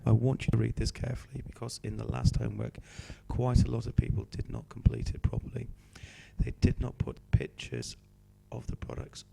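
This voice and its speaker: A male teacher with a cold, hoarse and croaky, patiently talking students through a homework sheet. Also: medium pitch, background noise. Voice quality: croaky, slips into whispery breaks. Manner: patient, explaining step by step. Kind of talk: homework help walkthrough.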